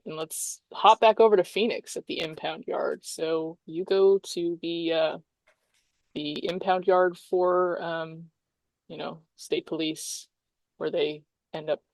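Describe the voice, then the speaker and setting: A woman game master narrating a tabletop roleplaying game in a halting, informal, explanatory way. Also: deep voice, steady tone, a little mellow, dry recording.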